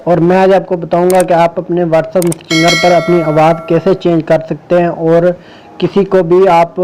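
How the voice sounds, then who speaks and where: A man's voice: a little high, warm tone, a little hiss or hum, low-quality recording. A man hosting an informal step-by-step phone app tutorial. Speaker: tech tutorial host. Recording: music playing behind the voice. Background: music.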